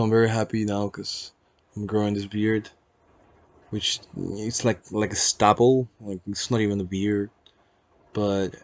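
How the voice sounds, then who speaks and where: A young man with a Peruvian accent speaks in a fairly low voice, recorded close and dry. He rambles reflectively in a casual personal vlog, pausing and hesitating as he searches for words, with some easy swearing. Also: no echo. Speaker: vlogger.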